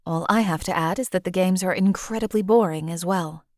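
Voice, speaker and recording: A woman's voice: fairly low pitch, fairly quick, a little mellow, no echo, lightly acted. A woman voicing a cold, sardonic assassin. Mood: composed. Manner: dry, cutting, precise and condescending. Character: cold, sardonic female assassin companion, former slave. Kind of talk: game companion voice lines.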